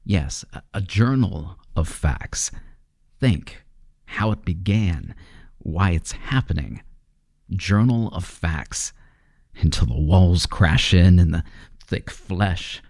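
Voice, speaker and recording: A low-voiced, haunted chemist, acted by a man in an old-time radio horror play. He talks very slowly and deliberately in a close, dry sound. His manner is brooding and domineering, circling back over his own phrases. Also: lively intonation, creaky, darkish tone, no echo, exaggerated voice. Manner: deliberate, repetitive, brooding monologue.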